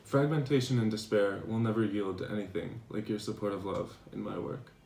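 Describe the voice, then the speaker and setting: A man playing a devoted philosopher reading his tender love letters aloud in a measured, earnest dramatization. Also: on the low side, vocal fry, a little mellow. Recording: echoey room, distant mic, faint noise.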